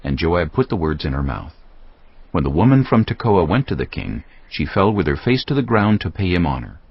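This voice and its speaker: A man narrating scripture as a solemn, measured storyteller in a dramatized audio production. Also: deep voice, sing-song, husky, no echo, lo-fi sound.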